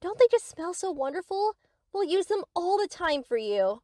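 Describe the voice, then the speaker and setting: A woman's voice: very high-pitched, sing-song, normal speed, dark tone, dry recording, clean studio sound. A woman playing a sweet, eager, obsessive young yandere best friend in an anime-style audio roleplay, gushing and theatrical.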